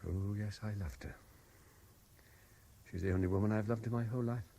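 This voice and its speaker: A man's voice: fairly low pitch, steady tone, a little fast, mellow. An actor playing an intense, haunted Frenchman obsessed with a lost love, insistent and brooding, in 1970s radio drama style. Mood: pleading, intense.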